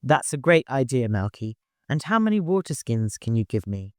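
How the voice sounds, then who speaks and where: A man's voice: medium pitch, big pitch swings, normal speed, darkish tone, no echo, clean studio sound, natural voice. A man voicing a dutiful, serious caravan leader with an earnest, courteous manner in an audiobook. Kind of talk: audiobook character voice.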